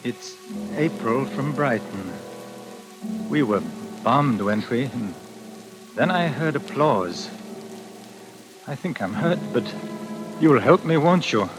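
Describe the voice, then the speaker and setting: A man's voice: mid-range voice, lively intonation, takes it slowly, pauses often, music in the background. A man playing a deluded stage actor who believes he is a murderous strangler, theatrical and grandly courteous, in a 1940s radio thriller. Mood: weak, fading. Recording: hissy vintage 1940s radio recording.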